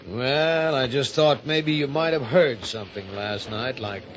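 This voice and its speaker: An actor playing a probing police detective with a falsely casual, insinuating manner in a 1950s radio mystery. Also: male voice, mid-range voice, measured pace, fairly bright tone, close to an everyday voice. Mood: probing suspicion. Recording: dull, noisy old radio recording.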